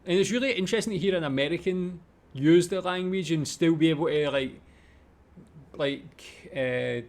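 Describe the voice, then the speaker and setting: Scottish male reaction host, pitched a little above average, casual conversational commentary. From Scotland.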